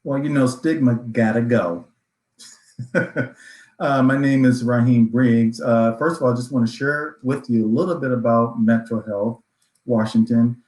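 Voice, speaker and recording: A man giving prepared remarks as a panelist, with somewhat halting phrasing, in an echoing room sound. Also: medium pitch, steady tone, a little mellow. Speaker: organization chief executive, panelist.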